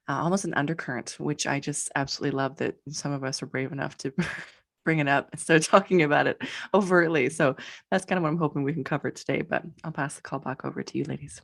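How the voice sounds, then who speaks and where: A woman hosts a podcast in a relaxed chat. She sounds bubbly and talkative, laughs often, and fills her sentences with "like" and "you know.". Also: rumbling low. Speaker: podcast host. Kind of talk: casual podcast conversation.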